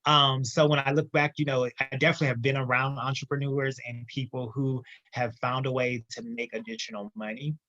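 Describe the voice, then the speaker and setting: A man telling his story as a podcast guest, emphatic and lively. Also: medium pitch, a little fast, few pauses, dry recording, highs cut off. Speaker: guest entrepreneur. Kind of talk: casual interview storytelling.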